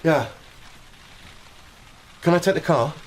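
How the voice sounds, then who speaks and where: A man's voice: fairly high pitch, takes it slowly. An actor playing a hurt young man being left by his fiancée, clipped and sarcastic in a radio drama. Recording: faint rain noise behind. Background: rain.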